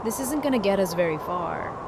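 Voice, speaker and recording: A woman's voice: mid-range voice, expressive, a little fast, background noise, natural voice. An actress playing a blunt, determined woman survivor in an audio drama. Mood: terse. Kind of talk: fan audio drama acting.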